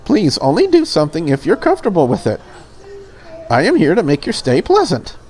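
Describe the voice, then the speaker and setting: Woman playing a polite, curious maid, lively pitch. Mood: reassuring, courteous. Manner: courteous, accommodating, measured. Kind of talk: visual novel character read aloud.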